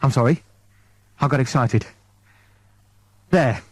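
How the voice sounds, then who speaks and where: Man playing an eager, hapless, excitable crewman, pitched up. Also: takes it slowly, no room sound. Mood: sheepish, eager. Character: clumsy, eager, hapless male impostor crewman.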